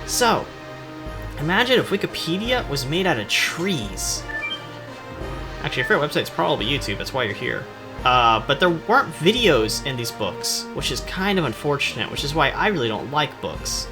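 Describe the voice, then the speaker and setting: A man's voice: fairly high pitch, very animated, normal speed. A man giving casual, rambling video game commentary in a jokey, sarcastic and self-deprecating way. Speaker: gaming video host. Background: music behind the voice.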